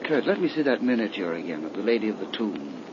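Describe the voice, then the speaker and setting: An actor playing an earnest, courteous young gentleman with polite, formal speech in a 1930s radio drama. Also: on the low side, very animated, quick pace, highs cut off. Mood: intrigued. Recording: noisy, band-limited 1930s radio recording.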